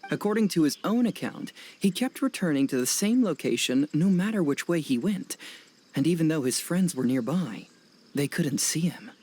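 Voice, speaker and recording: An actor playing a serious, analytical young male detective in a video game dub, speaking in a measured, formal, matter-of-fact way. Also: fairly high pitch, lively intonation, a little mellow. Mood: serious, investigative.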